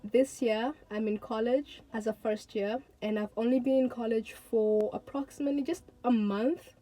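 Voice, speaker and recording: A woman's voice: fairly high pitch, fairly even intonation, normal speed, mellow, low-quality recording. A young woman of eighteen from Tanzania, vlogging to her viewers in an earnest, chatty way and repeating words for emphasis. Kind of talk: casual talk to camera.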